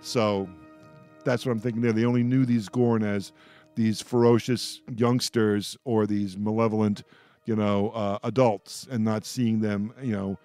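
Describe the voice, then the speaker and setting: A man's voice: fairly low pitch, flat delivery, dark tone, soft background music. Man chatting casually on a radio roundtable. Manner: rambling, halting, often repeats words. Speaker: radio host of a roundtable discussion. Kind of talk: casual fan discussion.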